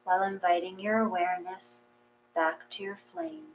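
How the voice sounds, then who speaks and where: A woman reading a guided meditation in a gentle, measured, calming way. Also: medium pitch, dull audio. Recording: clear mains hum.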